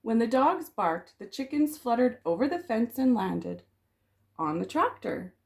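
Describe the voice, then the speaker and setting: Slow, very animated woman reading a storybook. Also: a little high, vocal fry. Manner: dramatic, expressive, stretching rhyming words. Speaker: kindergarten teacher reading aloud. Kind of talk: children's storybook read-aloud.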